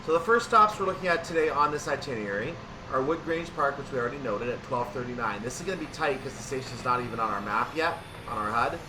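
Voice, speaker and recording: A man's voice: medium pitch, expressive, quick pace, recorded from a distance, noisy background. A man giving casual gameplay commentary on a train simulator, thinking aloud and correcting himself as he goes. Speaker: gaming video host.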